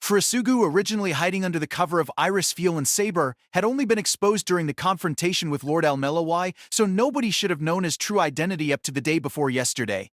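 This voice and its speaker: A man reading scripted, dramatic novel narration as an audiobook narrator, in a measured, formal way. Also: a little high, lively intonation, no echo, clean studio sound.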